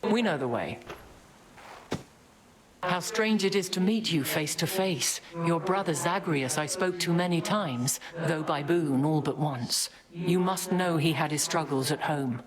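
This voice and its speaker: Warrior goddess, low voice, measured, diplomatic, formal, loud crowd noise. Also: female voice.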